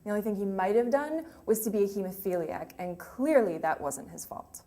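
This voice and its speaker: A woman's voice: medium pitch, expressive, a little fast, warm tone, natural voice. An actress playing a grieving young woman remembering her lost sweetheart in a hesitant, emotional, confessional monologue. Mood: wistful, earnest. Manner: hesitant, emotional, with trailing phrases. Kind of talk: dramatic monologue, confessional and conversational. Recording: clearly noisy room ambience.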